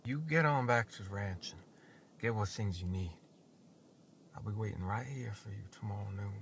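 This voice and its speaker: A man's voice: fairly low pitch, normal speed, gravelly, a little hiss or hum. A man playing an earnest, defiant cowboy suitor in an old western radio drama, with folksy, emphatic speech. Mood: earnest, tender.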